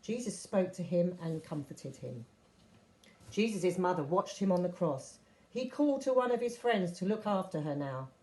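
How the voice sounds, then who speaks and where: A woman reading a Bible story aloud as scripted narration, with expressive delivery of quoted speech. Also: lowish voice, moderate pace, low-quality recording. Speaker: story reader.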